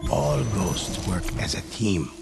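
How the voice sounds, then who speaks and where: An actor playing a mysterious, solemn storyteller of samurai legends, narrating a video game in a measured, portentous way over music. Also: male voice, deep voice, sing-song, gravelly, full character voice. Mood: solemn, instructive. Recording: dramatic music under the voice. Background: loud music.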